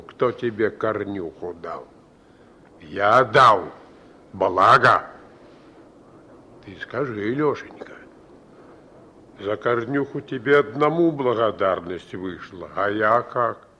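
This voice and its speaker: Slow-drawling sly old crook. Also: male voice, on the low side, pushed and bright, husky, full character voice. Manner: wheedling, drawling, needling. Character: sly old male criminal go-between, mock-affectionate, menacing. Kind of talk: Russian radio drama acting. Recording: dull old radio recording, faint noise.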